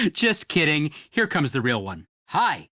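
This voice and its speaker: A man's voice: on the high side, wildly swooping pitch, slow pace, bright, forceful tone, no echo, clean studio sound, exaggerated voice. A man voicing a playful, friendly young guy in a video game, giving a casual, joking voicemail greeting.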